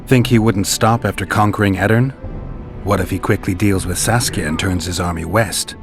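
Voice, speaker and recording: A very deep, deadpan monster hunter. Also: male voice, moderate pace. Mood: serious. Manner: deadpan, terse, wry. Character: gruff, stoic, dry-witted monster-hunting mercenary man. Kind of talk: video game voice acting. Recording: game music behind the voice.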